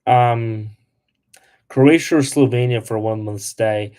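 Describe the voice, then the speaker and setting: A man's voice: mid-range voice, unhurried, a little mellow, smooth. A young man hosting a casual livestream, answering comments with lots of "like" and blunt asides. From Polish. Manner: chatty, lots of "like", blunt toward trolls. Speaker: travel livestream host.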